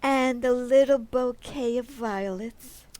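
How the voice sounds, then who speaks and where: A woman's voice: fairly high pitch, takes it slowly, a little mellow, dry recording, low-quality recording. A woman acting a fond, sensible, patient long-married wife in an old-time radio comedy. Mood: fond, touched. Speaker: amateur actor.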